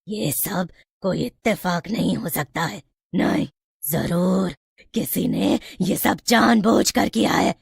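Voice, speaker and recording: Woman as a gravelly, husky young witch ghost. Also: medium pitch, no echo, studio quality, full character voice. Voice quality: raspy, creaky. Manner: dramatic, self-questioning. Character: young girl turned witch ghost, brooding, sorrowful. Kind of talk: storybook cartoon voice acting.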